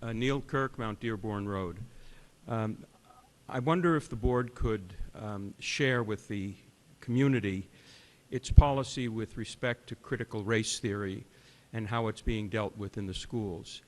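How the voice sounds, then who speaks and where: A man giving public comment at a town board meeting, speaking in a measured, formal way and laying out his points in order. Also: fairly low pitch, a little mellow. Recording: reverberant meeting room.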